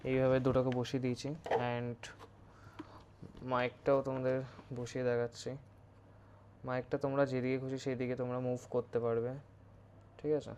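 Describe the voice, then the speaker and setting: A man's voice: mid-range voice, a little mellow, highs cut off, a little hiss or hum. A man with a West Bengal Bengali accent giving a casual, instructive hands-on review, mixing in English words. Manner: informal, instructive, mixes English words into Bengali, often checks with "okay?". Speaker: motovlogger presenting a product review.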